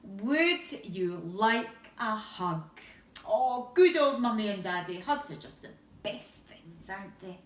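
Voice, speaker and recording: A woman's voice: medium pitch, animated, takes it slowly. A woman, a teacher, reads a picture book to young children expressively, drawing out words and adding little asides. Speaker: teacher reading a bedtime story. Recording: roomy echo, distant microphone.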